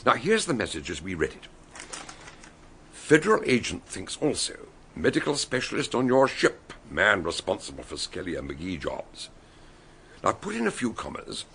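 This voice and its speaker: An actor playing a shrewd male detective who calmly lays out how the crime was solved in a radio drama. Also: medium pitch, gravelly, a little hiss or hum, exaggerated voice. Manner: explaining, measured.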